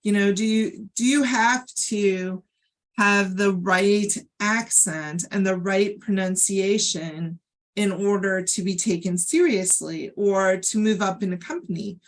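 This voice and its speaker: A woman with a General American accent, talking in a measured, explanatory way as a co-host in a casual podcast conversation. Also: mid-range voice. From United States, Ohio.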